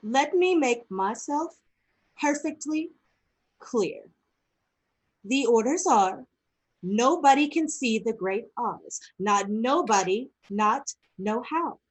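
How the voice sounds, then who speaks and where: A snide, bored receptionist, played by a woman who drawls her refusals slowly. The voice is a little high and unhurried, and she sounds dismissive throughout. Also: expressive, breathy edge, exaggerated voice, poor audio.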